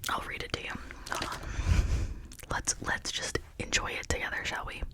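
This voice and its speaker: A person speaking in a breathy, unvoiced whisper, rambling in a confiding, personal way for an ASMR video. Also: normal speed.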